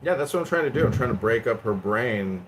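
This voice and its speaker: A man's voice: mid-range voice, expressive, quick pace, a little hiss or hum, low-quality recording. Mocking, provocative male chat host. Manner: mocking, provocative, swings loud and quiet. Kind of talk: provocative roast on a live audio chat.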